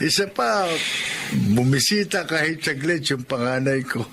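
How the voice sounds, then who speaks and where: A man voicing a gentle, lonely grandfather near seventy who speaks softly and wistfully. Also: on the high side, expressive, slow pace, full character voice. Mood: warm. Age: older. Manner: soft, wistful, chuckling often. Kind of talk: radio drama acting. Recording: noisy background.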